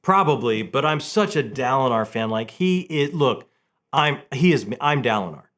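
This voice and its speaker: A man hosting a casual, enthusiastic book vlog, talking in an animated way with halting restarts and repeated words. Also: medium pitch.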